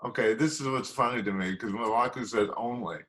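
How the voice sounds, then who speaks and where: A man's voice: medium pitch, fairly quick, fairly bright tone. A man, a pastor hosting a podcast interview, talking in a joking, chatty way. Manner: jokey, chatty, with laughs.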